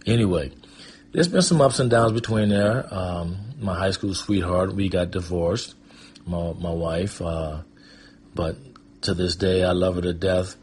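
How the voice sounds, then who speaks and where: A man's voice: sits low, a little slow, a little mellow, slight background noise. A middle-aged American man telling his own life story in a reflective, conversational, casual way. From Michigan, USA. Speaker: guest storyteller and performing artist. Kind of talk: personal storytelling, casual reminiscing.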